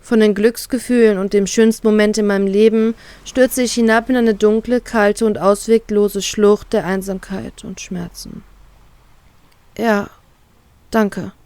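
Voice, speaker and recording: A woman's voice: mid-range voice, steady tone, unhurried, a little mellow, clean tone, dry recording. A woman reading a first-person fan fiction story aloud, voicing a heartbroken inner monologue.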